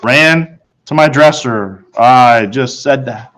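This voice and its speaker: A man's voice: medium pitch, slow, fairly bright tone. Man narrating a children's storybook.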